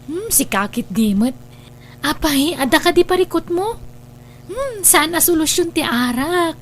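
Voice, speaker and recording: Radio drama sister type: young, high-pitched, caring, expressive, faint background noise. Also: female voice, measured pace. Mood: concerned. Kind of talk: Ilocano radio drama acting.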